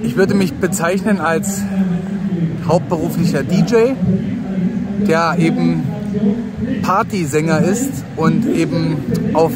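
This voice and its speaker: A man in his forties with a Thuringian accent, giving an earnest, rambling street interview. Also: fairly high pitch. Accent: Thuringian German. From Thuringia, Germany. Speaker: interviewee, party singer and DJ. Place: outdoor city square. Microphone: camera held close to face. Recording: loud street and traffic noise. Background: traffic and city ambience.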